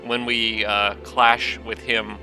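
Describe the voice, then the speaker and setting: A man's voice: fairly low pitch, takes it slowly, soft background music, poor audio. Stuffy-nosed man talking through a strategy game. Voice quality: slightly nasal from congestion. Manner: relaxed, thinking aloud, frequent ums. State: stuffed up from allergies. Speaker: gaming channel host. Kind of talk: casual strategy game commentary.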